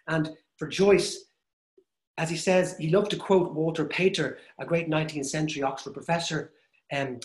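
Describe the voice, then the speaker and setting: A man hosting an online literary event on a video call, introducing readings in a thoughtful, discursive way with fillers. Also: a little high. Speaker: host and director. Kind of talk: reflective lecture-style introduction. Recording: roomy echo on a video call.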